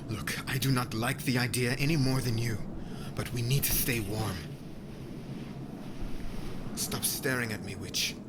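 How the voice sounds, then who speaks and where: A man playing a gruff, guarded witch hunter in an ASMR roleplay, stern and commanding in stilted formal phrases, often breathy and near a whisper. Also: mid-range voice, steady tone, measured pace, pauses often, very noisy, lightly acted. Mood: reluctant, firm. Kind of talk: ASMR roleplay audio drama.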